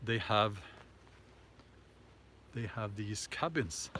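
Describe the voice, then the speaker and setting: A man with a Norwegian accent hosting a casual live chat and answering viewer comments, talking haltingly with many fillers and restarts. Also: on the low side, noisy background. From Norway.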